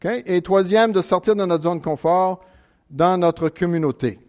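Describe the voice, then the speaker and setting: A man's voice: high-pitched, darkish tone. Earnest American man preaching in French. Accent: American English. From United States, Chicago suburbs. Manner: earnest, halting with many restarts, prayerful. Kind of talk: sermon.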